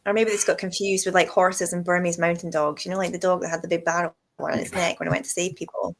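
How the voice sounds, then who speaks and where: A woman hosting a relaxed chat, telling lively stories and laughing often. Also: lowish voice, quick pace. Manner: chatty storyteller, laughs often. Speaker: whisky shop host. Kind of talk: casual chat.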